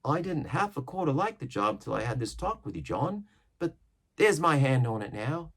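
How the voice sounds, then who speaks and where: A man voicing an eager young sailor lad, pitched well above his own voice. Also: big pitch swings, moderate pace, fairly bright tone, breathy edge, heavily acted.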